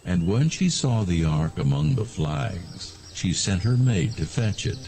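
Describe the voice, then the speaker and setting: A man giving solemn, measured scripted narration of scripture as a storyteller. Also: low-pitched, very animated, gravelly, noisy background.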